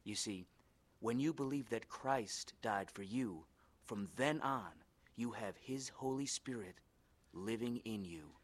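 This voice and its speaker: An actor playing a kindly, patient church pastor who speaks in a gentle, reassuring way in a dramatized story. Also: male voice, on the low side, takes it slowly. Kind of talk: dramatized scripted dialogue.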